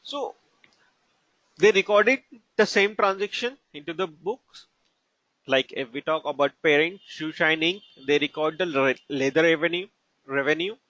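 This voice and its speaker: A man with an Indian accent teaching as a trainer, explaining examples haltingly in short, broken phrases. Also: a little high, fairly bright tone, no echo. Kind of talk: instructional lecture with examples. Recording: dull lo-fi narration, dry.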